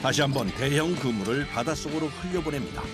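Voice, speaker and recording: A man's voice: medium pitch, animated, quick pace. A man reading scripted documentary narration in Korean, with music playing behind him. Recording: loud music bed behind voice.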